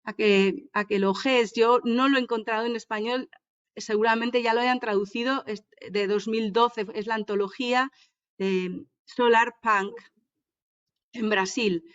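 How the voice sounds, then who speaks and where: A woman's voice: a little high, fairly even intonation, a little slow, clean tone. A woman from Madrid with a Madrid Spanish accent, speaking thoughtfully and hesitantly in an academic discussion over a video call. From Madrid, Spain. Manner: thoughtful, hesitant, searches for words. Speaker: seminar participant. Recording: muffled video call audio.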